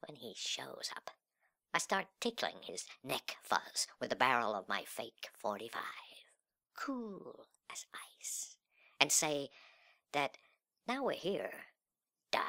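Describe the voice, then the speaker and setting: A man performing a dramatic monologue as a sullen, cocky, nihilistic young slacker, with breathy, slangy, sneering delivery that swings between muttering and outbursts. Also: mid-range voice, big pitch swings, takes it slowly, long pauses, sharp tone, whispered, full character voice. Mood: cool, scheming. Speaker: reader of a literary monologue. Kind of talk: dramatic first-person monologue in slangy speech.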